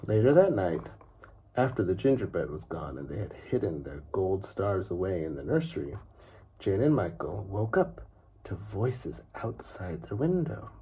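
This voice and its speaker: A man reading a children's story aloud in an expressive, unhurried way with dramatic pauses and a husky, gravelly voice. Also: low voice, a little hiss or hum. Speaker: father reading a bedtime story.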